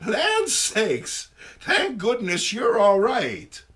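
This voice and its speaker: A man's voice: sits high, wildly swooping pitch, extremely slow, fairly bright tone, pitched above the performer's own voice, full character voice. A man voicing a sweet, fussy old woman, a doting aunt who exclaims in a theatrical, motherly way. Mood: startled concern.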